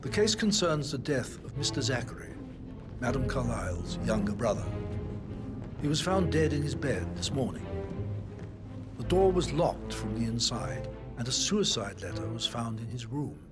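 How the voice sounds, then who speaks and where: An actor playing a discreet, deferential English butler in a game, measured, grave and very formal. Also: male voice, medium pitch, expressive, loud music behind the voice, lightly acted.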